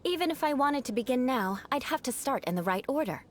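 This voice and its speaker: Haughty, high-voiced young noblewoman. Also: expressive, fast. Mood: composed, haughty. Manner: formal, haughty, precise wording. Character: proud young aristocrat woman, haughty, theatrically vengeful. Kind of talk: video game voice acting. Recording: game music behind the voice. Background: music.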